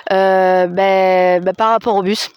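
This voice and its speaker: A woman's voice: medium pitch, level tone, slow, lo-fi sound, noisy background. A woman giving hesitant, tentative answers in a casual street interview. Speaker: local resident in a street interview. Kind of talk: casual vox pop.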